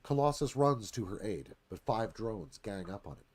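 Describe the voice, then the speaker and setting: A man's voice: lowish voice, lively intonation, normal speed, darkish tone, husky. A man narrating scripted scene directions as the narrator of a radio theatre read.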